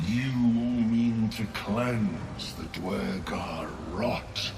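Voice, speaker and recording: A man's voice: fairly low pitch, drawn-out words, noisy background. A man playing an ancient, solemn mushroom sovereign in a video game, speaking in droning, terse, ceremonial pronouncements. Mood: slow, mournful. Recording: loud music behind. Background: loud music.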